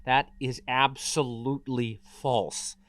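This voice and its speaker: A man's voice: mid-range voice, fairly even intonation, a bit bright, dry recording. Man acting a self-righteous, formal corporate villain. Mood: composed, defensive. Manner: measured, formal public statement. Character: smooth, self-righteous male corporate boss, deceitful villain. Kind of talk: dramatic table read acting.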